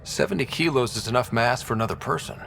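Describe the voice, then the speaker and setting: Young male explorer lead, sci-fi game. Casual, understated, dry humor, medium pitch. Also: fast talker, vocal fry, a bit bright, soft background music. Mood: focused. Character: young male space explorer leader, easygoing, wry. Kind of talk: naturalistic sci-fi game acting.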